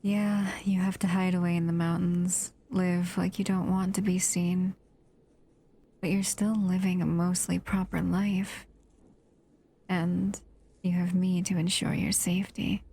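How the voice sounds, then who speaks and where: Creaky, tender monster girlfriend played by a woman. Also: on the low side, warm tone. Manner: tender, unhurried, doting murmur. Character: affectionate, possessive female monster lover, protective and dangerous. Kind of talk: intimate audio roleplay addressed to the listener.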